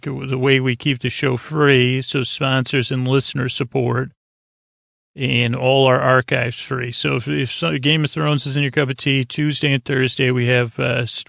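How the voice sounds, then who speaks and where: A man with a gravelly, creaky voice rambling as host of a sleep podcast, halting and stumbling over words as the thought wanders. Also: mid-range voice, fairly bright tone, dry recording, clean studio sound.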